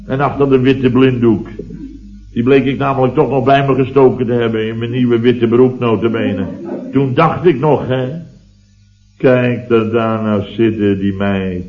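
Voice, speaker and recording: An actor with a Dutch accent playing a grumbling, boastful building-firm boss who spins indignant, drawn-out yarns in a radio comedy. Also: male voice, medium pitch, steady tone, faint music behind, natural voice. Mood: animated, sardonic. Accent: Dutch, from the Netherlands. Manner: indignant, drawn-out storytelling with repeated tag words. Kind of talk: radio comedy acting, anecdotal monologue. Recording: dull, roomy vintage radio recording.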